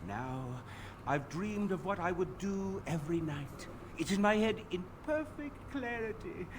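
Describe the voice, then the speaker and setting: A man voicing a fussy, haughty, high-strung master armorer in a fantasy video game, with a dramatic, perfectionist manner. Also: expressive, unhurried, noisy background. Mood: excited, proud.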